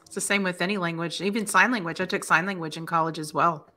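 A woman's voice: fairly low pitch, fairly even intonation, bright, forceful tone. A middle-aged woman co-hosting an interview on a video call, chatty and friendly. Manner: friendly, chatty, quick to agree. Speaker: podcast co-host. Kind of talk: casual interview chat. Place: home office.

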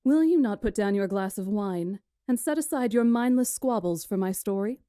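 Woman doing a commanding witch storyteller for a visual novel, soft dark tone, slow formal delivery, dry sound. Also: medium pitch, animated, dry recording, lightly acted. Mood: stern, formal. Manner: formal, deliberate, imperious storytelling. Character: poised, commanding witch storyteller lecturing a council.

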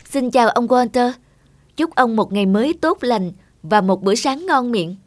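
A woman's voice: a little high, expressive, moderate pace, natural voice. A woman voicing a patient, kindly young waitress in a cartoon, speaking politely and reassuringly. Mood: polite, soothing. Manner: courteous, gently reassuring. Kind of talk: animated cartoon dubbing. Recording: music behind a close dry voice.

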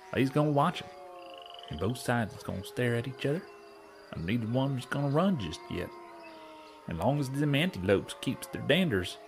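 An actor playing a folksy, earnest frontier guide with a drawling rural Western American accent in a western audio drama. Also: male voice, mid-range voice, noisy background.

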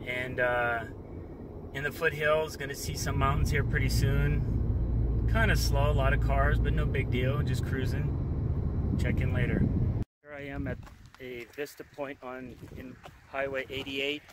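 A middle-aged man talks easily to a handheld phone as he drives. The sound is close but carries steady car and road noise throughout, with outdoor stops in open air. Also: mid-range voice, measured pace. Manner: relaxed, chatty narration to camera. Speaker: travel vlogger. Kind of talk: casual travel vlog. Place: inside a car while driving, also outdoors at stops. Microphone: phone camera at arm's length.

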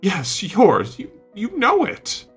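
A man voicing an earnest, indignant gentleman painter in an audiobook, speaking emphatically with emotion and reproach. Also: medium pitch, sing-song, normal speed, breathy edge, soft background music, voice pushed up. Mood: bitter, indignant.

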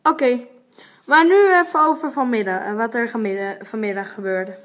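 A woman's voice: fairly high pitch, normal speed, fairly bright tone, lightly acted. A woman playing a friendly, chatty young companion in an amateur radio play.

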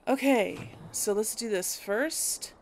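A woman with a creaky, mid-pitched voice talks casually through a video game. She thinks out loud, tentatively, with some noise and game ambience behind her. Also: slow pace. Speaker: gaming video host and player.

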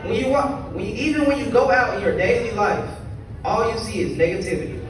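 A man's voice: sits high, brisk, noisy background. A teenage young man giving an earnest youth sermon in a large church sanctuary, reading scripture and preaching in short phrases. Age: teens. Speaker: youth speaker. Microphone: pulpit mic filmed by a phone from the pews. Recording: roomy far-off phone recording in a large hall. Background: congregation ambience.

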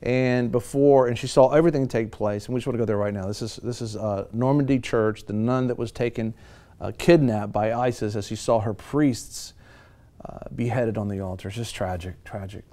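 A man's voice: mid-range voice, steady tone, normal speed, dark tone. A middle-aged American man hosting a news show and giving commentary in a studio.

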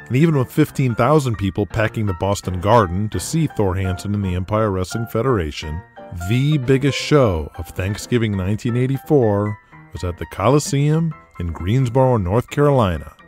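A low-voiced man narrates an audio drama in a measured, storytelling way. Guitar music plays clearly underneath his voice. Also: expressive, a little mellow.

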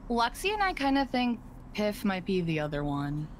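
A woman with a medium-pitched voice talks casually as a player in group game chat. There is faint noise on her voice chat feed. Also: huge pitch swings, normal speed. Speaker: player in a social deduction game.

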